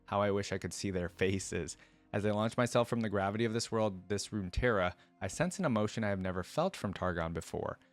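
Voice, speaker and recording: A man reading as a proud, low-voiced captive star dragon. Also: fairly quick, soft background music, close to an everyday voice. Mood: defiant. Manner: grandiose, florid storybook narration. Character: proud, vengeful celestial star-forging dragon held captive.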